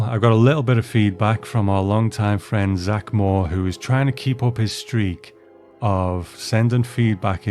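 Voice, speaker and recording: A man hosting a podcast, reading out thank-you announcements to listeners in a measured, formal, courteous way. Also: on the low side, a little mellow. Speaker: podcast host. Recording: music playing behind the voice.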